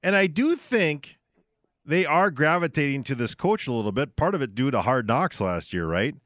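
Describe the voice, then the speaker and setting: A man's voice: fairly high pitch, very animated, normal speed, fairly bright tone, no echo, studio quality. A man co-hosting a radio sports show, talking casually and conversationally, often checking in with short tag questions. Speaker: sports radio host in studio. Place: radio studio.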